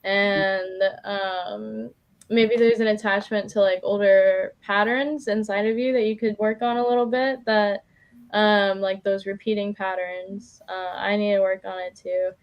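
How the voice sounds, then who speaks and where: A woman's voice: mid-range voice, steady tone, a little slow, lo-fi sound. A woman doing a casual live tarot reading as a guest, chatty and informal, feeling her way with plenty of "like". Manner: informal, chatty, hesitant phrasing with frequent "like".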